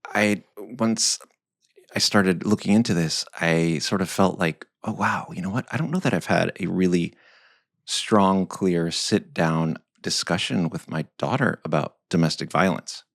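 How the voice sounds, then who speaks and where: Male podcast host, forties, very low voice, effusive, dry close sound. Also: unhurried, gravelly, dry recording. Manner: enthusiastic, effusive, fillers and restarts. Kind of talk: podcast interview.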